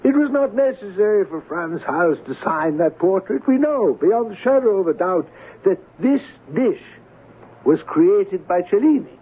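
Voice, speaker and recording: A learned, courteous art appraiser in a radio play. His voice is very husky and rises and falls freely, with slow, formal, exacting phrasing. The muffled sound is that of an old radio broadcast. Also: high voice, lively intonation. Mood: reverent, lofty. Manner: formal, deliberate, measured. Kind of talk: radio drama acting.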